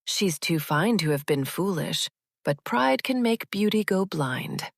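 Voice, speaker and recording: A woman's voice: on the low side, expressive, unhurried, vocal fry, no echo, studio quality, full character voice. A woman voicing an old market seller in a folktale, muttering disapproval in a moralizing way. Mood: disapproving. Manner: loud murmuring, moralizing.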